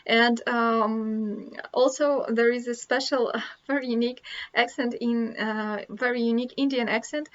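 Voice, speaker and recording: A woman's voice: fairly high pitch, steady tone, a little slow, dull audio. A woman from Russia with a Russian accent, a language teacher chatting casually to camera, hesitant with many fillers and restarts.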